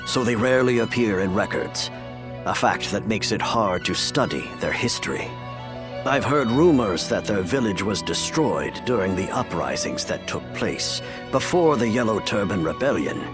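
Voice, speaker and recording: A grave, slow-spoken imperial official. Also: male voice, lowish voice. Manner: measured, solemn, formal. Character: grave, remorseful imperial official and adoptive father. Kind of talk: video game cutscene acting.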